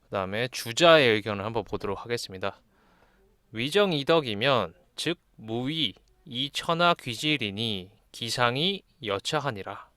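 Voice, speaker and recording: A man's voice: mid-range voice, lively intonation, measured pace, pushed and bright, no echo. Man giving a dry-sounding explanatory lesson. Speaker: lecturer. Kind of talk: explanatory lesson, reading classical texts aloud and commenting.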